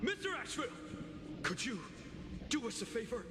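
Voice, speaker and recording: An actor playing an eerily blissful, deluded hero captain, grandiose and drawn-out, in a theatrical video game style. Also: male voice, very high voice, very animated. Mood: serene, cheerful. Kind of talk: dramatic video game voice acting. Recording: game music over the voice. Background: loud music.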